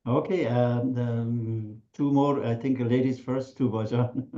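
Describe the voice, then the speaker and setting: A male host runs an online meeting in a polite, halting way. His voice sits in the middle range and comes through a muffled, dull video call line. He pauses often and fills the gaps with "uh". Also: mid-range voice, fairly even intonation, mellow. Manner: polite, hesitant, with many fillers. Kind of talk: hosting an online class meeting.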